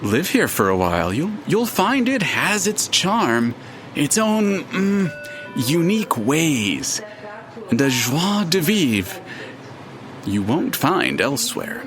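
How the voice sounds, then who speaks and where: An actor in an audio drama playing a haughty, fussy, all-seeing narrator spirit with precise, florid and slightly hesitant delivery. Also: male voice, on the high side, huge pitch swings, takes it slowly, fairly bright tone, soft background music, exaggerated voice. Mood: airy. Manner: pompous, precise, faintly hesitant, florid wording. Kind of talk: full-cast audio drama acting.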